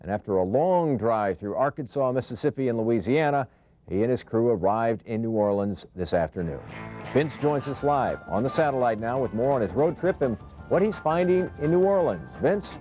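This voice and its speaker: A man's voice: medium pitch, lively intonation, moderate pace, dark tone, soft background music. A man anchoring television news, introducing live reports and chatting with a reporter.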